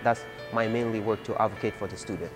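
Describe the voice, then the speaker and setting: A man's voice: lowish voice, steady tone. A young man from Ethiopia, a student leader, telling his story earnestly with many fillers. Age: young adult. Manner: earnest, halting with frequent fillers. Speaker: community college student leader. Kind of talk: personal interview testimony. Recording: music bed under the voice.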